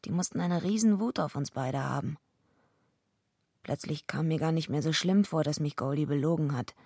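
An actress playing a gruff, streetwise young female fighter who speaks bluntly and tersely in a radio drama. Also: normal speed, mellow, dry recording, studio quality. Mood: dry, brooding. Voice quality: low, husky, gravelly. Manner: blunt, terse, laconic first-person telling. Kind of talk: radio drama acting with narration.

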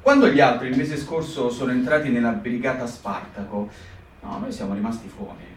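A man's voice: medium pitch, expressive, a little fast, a little hiss or hum, pitched below the performer's own voice, lightly acted. A man voicing a stern priest who commands a band of partisans, speaking firmly and solemnly. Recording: roomy live stage, distant mic.

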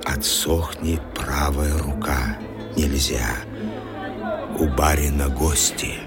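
An actor playing a stolid, servile doorman in a radio drama, halting and deferential in his speech. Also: very deep voice, fairly even intonation, over loud music, a loud crowd. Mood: stubborn, evasive. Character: stolid, servile old-fashioned male doorman of a gentleman's house.

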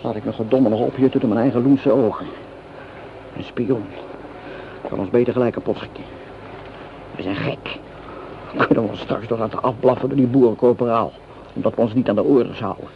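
A man's voice: medium pitch, fairly even intonation, a little fast, gravelly, pauses often, close to an everyday voice. An actor playing a coarse, sardonic common soldier in a radio play, cursing and resentful of his officers. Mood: bitter, tense. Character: gruff, hungry, rebellious common foot soldier, embittered against officers. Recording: noisy, dull old radio drama recording.

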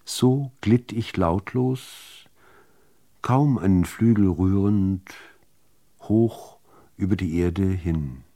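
A man reading literary prose as a radio narrator, in a measured, deliberate delivery with dramatic pauses. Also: low-pitched, dark tone.

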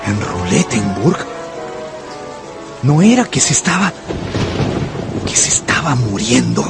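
An anxious, brooding gambler telling of his ruin in a radio novel. His mid-pitched voice moves expressively between intense confession and dramatic pauses, over background music. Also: big pitch swings, gravelly, exaggerated voice. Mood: shaken. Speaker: lead actor and narrator. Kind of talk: first-person dramatic narration in a radio novel.

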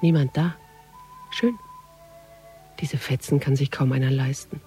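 An actress playing a sardonic, world-weary police detective in a radio crime drama, with a dry, mocking, intimate delivery. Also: female voice, very deep voice, steady tone, measured pace, warm tone, faint music behind. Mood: bitter, sardonic. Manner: mocking, dry, half-muttered monologue.

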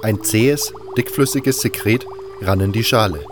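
Guy playing blunt tired fantasy hero telling his story, lowish voice, audio drama, ambient noise behind. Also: measured pace, close to an everyday voice. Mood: measured narrating. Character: blunt, weary male mercenary hero narrating his quest. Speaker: narrator and protagonist. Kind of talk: scripted first-person audio drama narration and dialogue.

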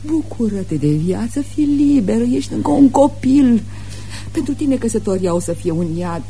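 A woman's voice: on the high side, lively intonation, warm tone, a little hiss or hum. An actress playing a hard-drinking, domineering middle-aged mother in a radio play, with a scolding, theatrical manner. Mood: reproachful, pleading. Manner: scolding, theatrical, swings from biting to offhand. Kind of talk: Romanian radio theatre acting.

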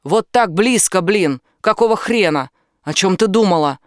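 A woman's voice: mid-range voice, lively intonation, normal speed, no echo, studio quality, close to an everyday voice. A woman reading an audiobook, voicing a blunt, commanding and protective man who explains things firmly. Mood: angry, alarmed. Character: angry, protective British man, outdoorsy and knowledgeable. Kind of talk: audiobook character dialogue.

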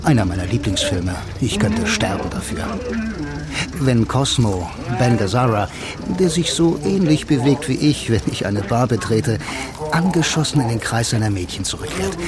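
Radio drama narration over clear music: a sardonic, self-assured blind bank employee played by a male actor. He confides in the listener with dry irony. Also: medium pitch, sing-song, moderate pace, natural voice. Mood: rapt. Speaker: first-person narrator and lead actor.

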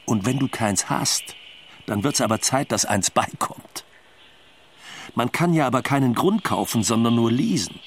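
Matter-of-fact husband, faint background noise. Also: male voice, medium pitch, fairly bright tone. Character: matter-of-fact husband explaining house buying in China. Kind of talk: documentary radio play monologue.

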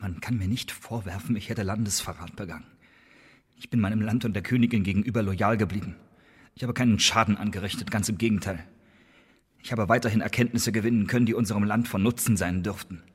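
Accused secret agent, radio drama, defensive, earnest, emphatic, husky mid-pitched voice, long hall reverb. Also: male voice, fast talker. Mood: defiant. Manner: emphatic, formal pleading. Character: accused male spy, defensive, earnest, stubbornly protesting his innocence. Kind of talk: German radio play acting.

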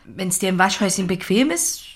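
A woman's voice: mid-range voice, lively intonation, fairly quick, breathy edge. An actress playing a hardy, lonely farm woman in a German audio drama, blunt and plain-spoken. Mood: matter-of-fact.

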